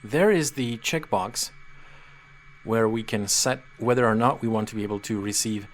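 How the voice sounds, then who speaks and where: Patient man explaining software. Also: on the low side, moderate pace, slight background noise. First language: Czech. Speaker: software tutorial presenter. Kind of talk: screen-reader software walkthrough.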